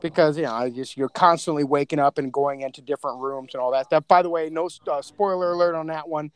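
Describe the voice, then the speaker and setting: A man's voice: on the high side, fairly even intonation, fast, clean tone, dry recording. A man chatting casually in a group podcast, speaking in an effusive, rambling, informal way.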